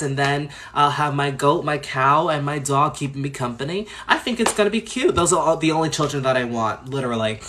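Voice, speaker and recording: A man from Long Island, New York, chatting casually on a livestream as host, talking fast and gushing expressively as he answers viewers. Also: mid-range voice, a bit bright. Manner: fast talker.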